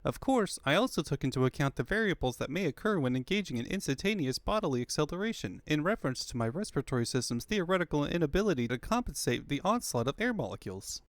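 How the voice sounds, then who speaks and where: A man's voice: on the high side, sing-song, fast, hardly any pauses, no room sound. A man voicing a small, pompous genius fox boy with wordy, pedantic speech for a comic fandub. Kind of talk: comic fandub character acting.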